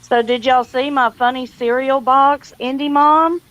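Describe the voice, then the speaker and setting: A woman's voice: high voice, fairly even intonation, measured pace, fairly bright tone. A woman hosting a casual livestream, chatty, folksy and welcoming. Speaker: livestream host.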